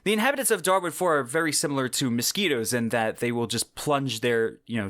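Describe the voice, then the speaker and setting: A man with a medium-pitched voice hosts a podcast solo, explaining things in a measured, semi-scripted way. He slips in personal asides as he goes. The sound is very dry, with no room echo. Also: fairly bright tone. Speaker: podcast host.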